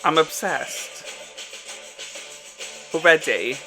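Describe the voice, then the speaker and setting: A man's voice: on the high side, lively intonation, drawn-out words, music in the background. A young man giving casual, enthusiastic reaction commentary as host, recorded in a small bedroom. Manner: enthusiastic, gushing. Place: small bedroom, windows shut.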